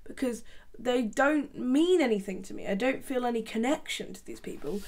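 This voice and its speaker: A young woman giving deadpan, sarcastic commentary from her bedroom into a desk microphone. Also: fairly high pitch, moderate pace. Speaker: YouTube commentator. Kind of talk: sarcastic commentary on a reality show. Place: small bedroom with colored lights. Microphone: large desk microphone.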